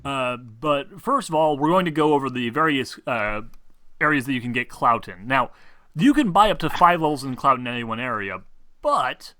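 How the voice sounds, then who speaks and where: A man with a bright, crisp-edged voice runs a tabletop game. He talks through rules in a casual, sarcastic way, ribbing the players. The sound is dry, with little room in it. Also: mid-range voice, animated, normal speed, no room sound. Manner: teasing, sarcastic. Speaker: game master.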